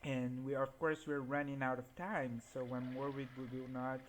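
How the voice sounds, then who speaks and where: A man's voice: medium pitch, steady tone, moderate pace, slight background noise. A man whose first language is Portuguese, giving laid-back gameplay commentary and chuckling often as he talks. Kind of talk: casual let's-play commentary.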